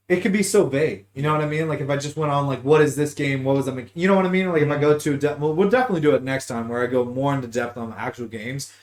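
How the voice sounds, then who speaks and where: A man's voice: mid-range voice, fast. Casual, slangy man hosting a podcast. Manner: slangy and informal, swears freely. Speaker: podcast host. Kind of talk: casual gaming talk show chat.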